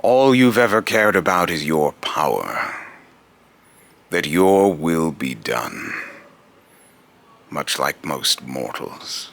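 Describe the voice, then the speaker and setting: A man's voice: rumbling low, very animated, creaky, fairly bright tone, heavily acted. A man voicing a vengeful, grave god who judges mortals, speaking in solemn, accusing, taunting questions in a dramatic monologue. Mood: scornful, accusing. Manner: slow, solemn, deliberate, taunting rhetorical questioning. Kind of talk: amateur voice-acting monologue with retakes.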